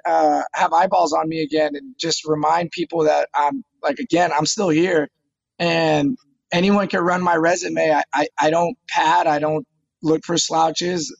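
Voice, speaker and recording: A man's voice: a little high, gravelly, no echo, lo-fi sound. A man in his thirties, a fighter talking casually in an interview, eager and often repeating words as he starts a phrase. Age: 30s. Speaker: MMA fighter guest.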